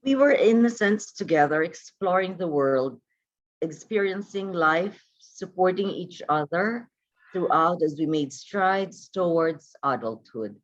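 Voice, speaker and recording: A woman's voice: fairly low pitch, expressive, a little slow. A woman reading a scripted, reflective narration over a video call. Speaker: reader of an opening narration. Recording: dull video-call audio.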